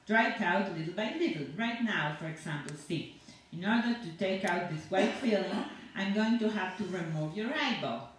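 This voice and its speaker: Female reader as rambling dentist, falsely reassuring and grumbling; animated pitch, echoing live room. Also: moderate pace, lightly acted. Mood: fussy, disapproving. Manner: rambling, falsely reassuring, hesitant non-native English. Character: chatty, grumbling, blithely menacing dentist. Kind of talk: dramatic monologue read from a short story. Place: large indoor venue at a university. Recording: echoey, distant, noisy live room.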